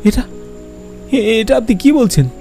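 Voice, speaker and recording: A man voices a young man in a horror cartoon, bewildered and distressed. The voice is pitched very high yet muted in tone. Music plays faintly underneath. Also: expressive, unhurried, dark tone, full character voice. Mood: shocked.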